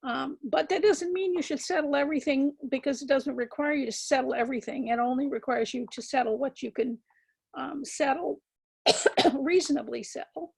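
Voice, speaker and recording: A woman with a scratchy voice giving a measured, emphatic legal lecture to attorneys, with frequent ums and words repeated for stress. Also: high voice, gravelly, a little mellow. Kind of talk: continuing-education lecture.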